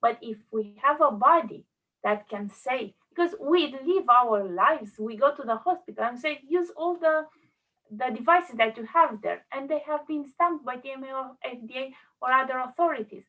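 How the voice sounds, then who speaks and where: A woman, speaking on an online panel in an earnest, halting way with many restarts. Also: high-pitched, distant mic, narrow, tinny audio. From Romania.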